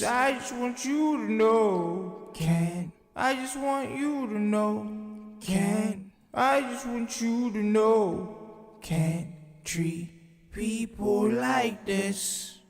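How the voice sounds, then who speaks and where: Very slow, reverberant woman's refrain. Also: medium pitch, darkish tone. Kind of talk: repeated song refrain. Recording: echoey, long reverb. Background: music.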